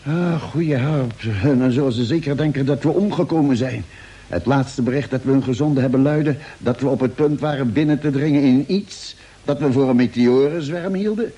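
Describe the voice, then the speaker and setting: An actor playing an earnest, suspicious spaceship doctor in an old-style radio play. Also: male voice, medium pitch, normal speed, mellow, husky, natural voice. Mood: dismayed. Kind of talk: Dutch radio play acting, mid-century.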